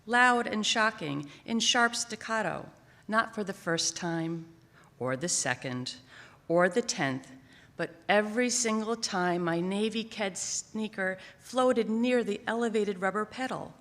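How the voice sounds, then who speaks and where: A woman's voice: medium pitch, a little slow, fairly bright tone. Woman storyteller in a reverberant theater. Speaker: writer reading own essay. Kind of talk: live dramatic personal-essay reading. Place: theater stage at a library, live event. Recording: echoing theater with long reverb.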